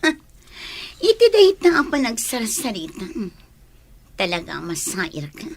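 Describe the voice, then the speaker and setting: A woman's voice: medium pitch, wildly swooping pitch, a little slow, heavily acted. A woman acting an agitated, incredulous village woman with an Ilocano accent, exclaiming and scolding in a radio drama. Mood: indignant scolding.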